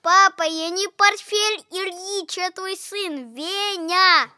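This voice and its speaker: A woman's voice: extremely high pitch, slow, pushed and bright, no room sound, studio quality, heavily acted. An actress playing an earnest, plaintive little boy in a children's audio play. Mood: pleading. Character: Venya, earnest little boy seeking his father.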